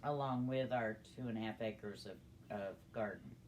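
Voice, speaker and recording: A woman's voice: extremely low pitch, moderate pace, darkish tone, a little hiss or hum. An older woman telling stories casually as a guest, in a folksy, plain-spoken way. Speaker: storytelling guest. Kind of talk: casual storytelling.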